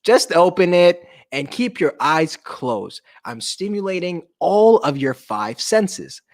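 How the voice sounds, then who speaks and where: A man's voice: high-pitched, very animated, takes it slowly, full character voice. Man playing an eager, bossy storybook boy. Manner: insistent, announcing. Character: eager, bossy young boy running a pretend spa.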